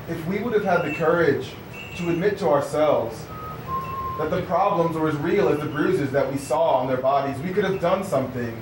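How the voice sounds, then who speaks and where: Male puppet show performer, slightly high voice, scripted monologue, roomy sound. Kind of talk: scripted live theatrical monologue. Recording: roomy, distant live recording with noise.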